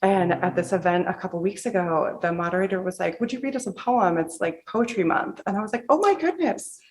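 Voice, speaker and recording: A woman's voice: mid-range voice, a little fast, rough recording. An American woman author from the Pacific Northwest answering audience questions casually and candidly, with informal, slangy phrasing. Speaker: author at a book reading.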